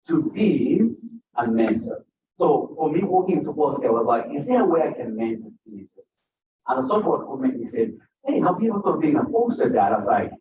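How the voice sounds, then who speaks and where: A man's voice: on the high side, noisy background. Ugandan man telling stories to a bookstore crowd. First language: a language other than English. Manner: conversational, jokes with the crowd. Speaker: author at a book talk. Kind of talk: speaking to a live audience. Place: bookstore event room. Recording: roomy, distant, dull bookstore recording.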